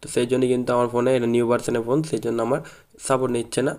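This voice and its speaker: A man's voice: mid-range voice, completely flat delivery, very fast, darkish tone. A man presenting an informal tech tutorial as a channel host, often tagging statements with a checking question. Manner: repeatedly adds a checking tag to statements.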